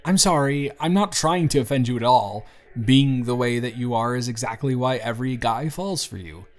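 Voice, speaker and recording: Lovestruck young male best friend, played by a man for audio roleplay: mid-pitched, sincere, halting, natural delivery, light music bed. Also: natural voice. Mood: flustered, exasperated. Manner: halting, earnest, conversational. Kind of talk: intimate audio roleplay acting, one side of a conversation. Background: background music.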